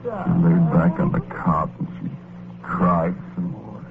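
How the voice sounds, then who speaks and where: Tinny, noisy vintage radio. gullible, soft-hearted working stiff, low voice, slangy first-person storyteller. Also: male voice, steady tone, slow, gravelly, full character voice. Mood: sorrowful. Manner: plain colloquial slangy speech with folksy exclamations. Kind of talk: old-time radio drama, first-person narration.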